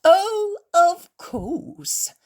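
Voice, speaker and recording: A woman voicing a robust male innkeeper who loudly and sarcastically taunts his visitors. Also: extremely high pitch, sing-song, drawn-out words, pitched above the performer's own voice, exaggerated voice. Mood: mocking. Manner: loud, defiant, sarcastic taunting. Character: robust, brash male innkeeper, fearless and mocking.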